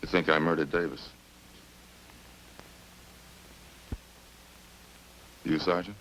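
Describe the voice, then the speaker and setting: A man's voice: a bass voice, steady tone, fast talker, fairly bright tone, rough recording. A man playing a talkative, smug murder suspect in a radio crime drama, folksy and cagey as he casually needles the police. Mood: defiant, needling. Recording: hissy old radio recording.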